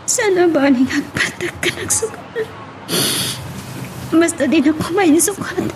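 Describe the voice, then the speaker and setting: A woman's voice: very high voice, lively intonation, unhurried, noisy background. A woman playing a suffering, plaintive wife and mother in an Ilocano audio drama. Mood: hurt, weeping. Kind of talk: Ilocano radio drama acting.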